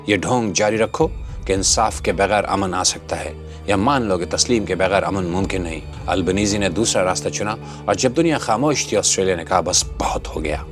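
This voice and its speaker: A man hosting a scripted opinion commentary, speaking in a dramatic, rhetorical and sardonic manner. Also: low voice. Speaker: host of a commentary channel. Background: background music.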